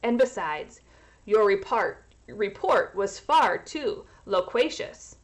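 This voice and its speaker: A woman voicing a pompous, firm uncle in a children's storybook, with formal, deliberate phrasing. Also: medium pitch, very animated. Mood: pompous refusal.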